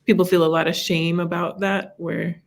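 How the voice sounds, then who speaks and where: A woman from Los Angeles talks casually as a podcast guest. Her voice sits low, and she is bubbly and self-mocking, with quick laughs between her thoughts. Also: level tone. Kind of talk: casual chat.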